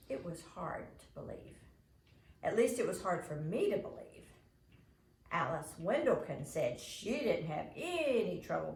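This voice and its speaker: A woman reading a children's novel aloud in an expressive, animated way, dramatizing as she narrates. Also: mid-range voice, creaky. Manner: animated, dramatizing the characters' lines. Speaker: storybook reader. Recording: echoey room with faint background noise.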